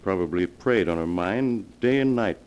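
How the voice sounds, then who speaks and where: An actor playing a grave family doctor in 1940s radio melodrama, solemn, measured and authoritative. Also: male voice, low voice, lively intonation, a little mellow, clean tone, highs cut off. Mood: regretful, explaining. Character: grave, controlled family doctor breaking bad news. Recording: vintage 1940s radio broadcast.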